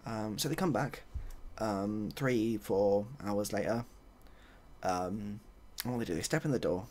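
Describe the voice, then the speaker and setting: A soft-spoken man improvising a story in a hesitant, dry, rambling and self-deprecating way. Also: fairly low pitch, unhurried. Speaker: ASMR video host.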